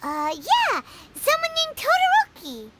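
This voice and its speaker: A woman voicing a tiny, excitable, childlike fairy companion in a video game, squeaky and exclamatory. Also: unhurried, heavy background noise, exaggerated voice. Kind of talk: video game character dialogue. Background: music.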